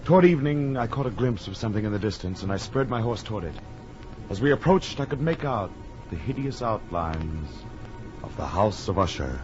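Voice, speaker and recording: An actor playing an uneasy, well-bred gentleman in a gothic horror radio drama of the 1940s, formal and dramatic. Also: fairly low pitch, animated, brisk, music in the background, lightly acted. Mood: dread, brooding. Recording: muffled, faintly noisy old radio recording.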